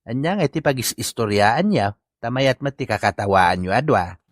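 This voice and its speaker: A greedy, cheeky Ilocano uncle from a comedy drama, acted by a man, wheedling in an animated mid-pitched voice. The accent is Ilocano and the sound is close and dry. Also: moderate pace, dry recording, close to an everyday voice. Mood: nosy, wheedling.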